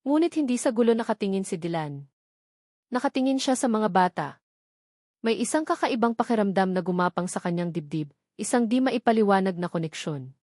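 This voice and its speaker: A woman's voice: medium pitch, expressive, fairly quick, a little mellow, no echo, clean studio sound. A woman reading a scripted love story as a narrator, in a dramatic storytelling style. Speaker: narrator of audio love stories.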